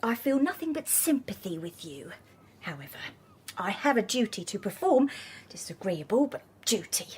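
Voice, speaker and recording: A woman's voice: mid-range voice, very animated, normal speed, a little hiss or hum, close to an everyday voice. An actress playing a prying, sanctimonious, self-pitying Victorian landlady in a radio drama, with prim, theatrical British speech and false sympathy. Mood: prim, disapproving.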